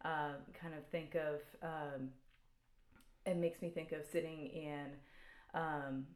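A woman's voice: sits low, flat delivery, takes it slowly, darkish tone. A woman co-hosting a food podcast, speaking thoughtfully with many hesitations. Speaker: podcast co-host. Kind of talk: casual podcast chat. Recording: echoey room.

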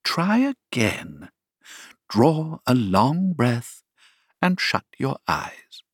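A man's voice: mid-range voice, very animated, drawn-out words, slightly rough, dry recording, studio quality, voice pushed up, heavily acted. A man putting on a swooping, eccentric old storybook queen. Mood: pitying. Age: older. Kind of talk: storybook character voice.

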